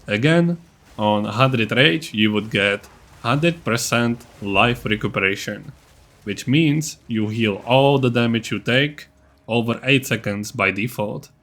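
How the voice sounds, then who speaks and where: A man's voice: medium pitch, takes it slowly, a bit bright. Casual man explaining a game build. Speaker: gaming video creator.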